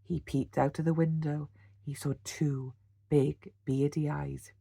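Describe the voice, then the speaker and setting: A British woman, a teacher, reading a children's storybook aloud in an animated bedtime-story style. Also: low-pitched, takes it slowly, gravelly, husky, dry recording, clean studio sound. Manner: animated, with gasps and sound words.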